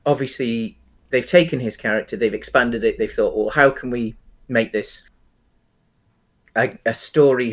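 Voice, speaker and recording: A man co-hosting a podcast, discussing film casually in a halting, explanatory way. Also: lowish voice. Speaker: podcast co-host.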